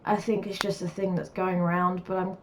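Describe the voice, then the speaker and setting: A woman's voice: fairly low pitch, little pitch movement, brisk, a little hiss or hum. A woman with a stuffy cold, chatting through a game build in a casual, rambling voice-over with many fillers. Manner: rambling and chatty, lots of fillers, laughs often. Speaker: gaming YouTuber.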